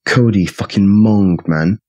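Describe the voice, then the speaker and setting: A very low-pitched young lad played by a man for a gritty audiobook drama. He is cocky and blunt, swaggering and swearing through his lines. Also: slow, a little mellow. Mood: brash, irritated. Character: brash, cocky young lad, loud and tipsy. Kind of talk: full-cast audiobook acting.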